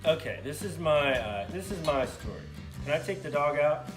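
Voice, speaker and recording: Higher-voiced man, halting kids' show sidekick. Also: animated. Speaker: puppet show helper and sidekick. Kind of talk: casual improvised storytelling for kids. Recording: roomy echo, faint music behind.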